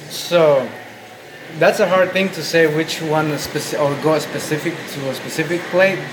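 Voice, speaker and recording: A man's voice: a little high, fairly even intonation, moderate pace, noisy background. A young man from Guatemala, co-owner of a restaurant, answering questions in a casual interview, often stopping and restarting his sentences.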